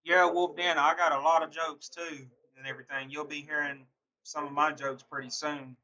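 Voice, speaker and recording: A man's voice: a little high, little pitch movement, moderate pace, sharp tone, highs cut off. A man from Mississippi with a Southern accent, casually chatting with viewers on a live stream and answering them by name, laughing often. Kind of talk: casual chat reading viewer comments.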